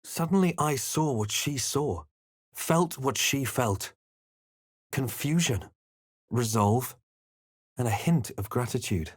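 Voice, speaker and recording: A man voicing a brooding fantasy adventurer in a video game, speaking in a measured, earnest, theatrical way. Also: mid-range voice, animated, slightly rough, no room sound, clean studio sound. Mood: grave, narrating. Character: brooding male fantasy adventurer hero, narrating his visions and deeds. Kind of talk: dramatic video game voice acting.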